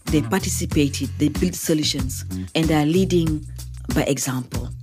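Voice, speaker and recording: A woman's voice: sits low, moderate pace, music in the background. A woman hosting an interview podcast, talking with effusive praise for her guests. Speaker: podcast host.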